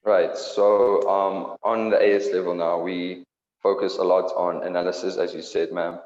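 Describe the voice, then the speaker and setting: A teenage schoolboy with a very low, flat voice answers haltingly and keeps restarting his sentences. He speaks through an earphone mic, and the sound is dull with a long echo. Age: teens. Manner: hesitant, restarting. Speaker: student. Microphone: earphone mic, video call. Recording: echoey, dull call audio.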